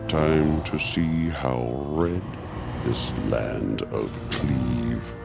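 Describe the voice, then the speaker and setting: A man playing a monstrous, gloating villain leader in an audio horror comedy, with a gravelly growl and a pompous, theatrical delivery. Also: extremely low pitch, animated, very slow, exaggerated voice. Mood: menacing. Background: loud music.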